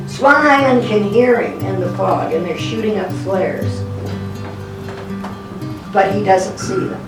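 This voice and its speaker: An older woman giving a live storytelling talk in a folksy, conversational way, acting out the dialogue as a local historian would. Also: mid-range voice. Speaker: guest speaker, local historian. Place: indoor talk at a historic log cabin. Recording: roomy, distant microphone, music behind.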